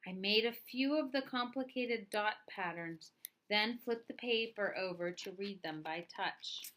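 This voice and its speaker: A woman reading a children's story aloud in an expressive narrating style. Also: mid-range voice, measured pace. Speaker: storybook reader.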